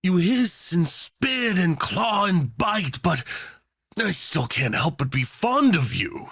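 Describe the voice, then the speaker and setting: A man's voice: high voice, sing-song, slow, gravelly, fairly bright tone, slightly rough, dry recording, studio quality, voice pushed up, full character voice. A man playing a doting, unhinged rich tyrant in an obsessive romance roleplay, theatrical and saccharine, teasing with pet names. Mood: pleading, smitten. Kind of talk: yandere ASMR roleplay acting.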